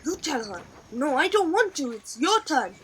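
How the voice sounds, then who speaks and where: A woman's voice: high-pitched, big pitch swings, normal speed, a bit bright, slight background noise, voice pushed up. A woman voicing reluctant male pirate oarsmen in a storybook, bickering and urging each other on. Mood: nervous bickering.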